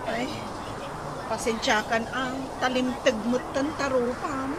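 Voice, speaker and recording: A woman acting in an Ilocano radio comedy drama as a dramatic, complaining village woman with drawn-out delivery. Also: sits high, steady tone, heavy background noise. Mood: coaxing.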